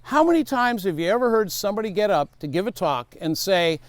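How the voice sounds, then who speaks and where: A man in his fifties, a safety speaker, giving an energetic, emphatic speaking tip and repeating his key rule. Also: sits high, fairly quick, dry recording. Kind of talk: motivational speaking tip to camera.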